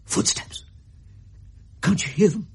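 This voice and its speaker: A man's voice: slow, close to an everyday voice. A man playing a genial, teasing elderly father and grandfather in a radio mystery drama, courtly and doting. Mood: teasing, hushed. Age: older.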